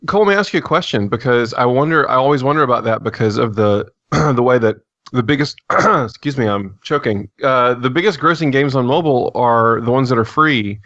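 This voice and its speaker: A man hosting a podcast who rants in casual chat, swearing and throwing in quick asides. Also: medium pitch, a bit bright, no echo, highs cut off. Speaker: podcast host.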